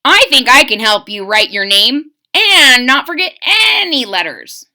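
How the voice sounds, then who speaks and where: A woman's voice: high-pitched, sing-song, a little slow, pushed and bright, exaggerated voice. A woman voicing a small, brave male bird in a storybook, a loyal friend who speaks in a reassuring, determined way.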